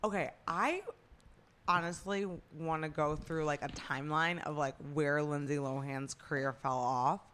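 A woman's voice: low voice, fairly even intonation, unhurried, a bit bright, smooth. A woman co-hosting a podcast, chatting casually about celebrity gossip and rambling with lots of "like". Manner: rambling, heavy use of "like". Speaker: podcast co-host.

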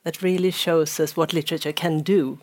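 A woman with a low-pitched voice works as an interviewer. She responds to her guest in a reflective, measured way. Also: steady tone, darkish tone.

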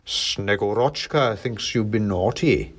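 A big storybook Santa figure, acted by a man, slow and looming. The joviality has a threatening edge. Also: on the low side, slightly rough, full character voice. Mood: menacing joviality. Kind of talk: storybook character voice.

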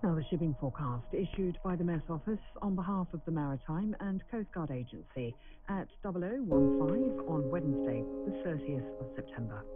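A man playing a formal radio announcer, reading a shipping forecast in measured bulletin style. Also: fairly high pitch, expressive, roomy sound, lightly acted. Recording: dull lo-fi radio sound under loud music. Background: music.

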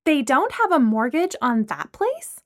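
A woman acting a high, indignant young woman. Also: huge pitch swings, a little slow, sharp tone, full character voice. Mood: interrogating. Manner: blunt, emphatic, high-pitched. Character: outspoken, indignant young vegan woman, blunt and interrogating.

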